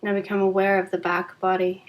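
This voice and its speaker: A woman leading a guided yoga nidra relaxation in a calm, soothing, unhurried way with long pauses. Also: medium pitch, dull audio.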